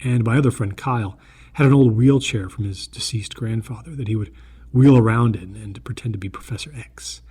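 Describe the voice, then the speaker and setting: Husky-voiced man narrating a scary story. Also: on the low side, brisk, mellow. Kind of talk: scripted first-person horror story narration.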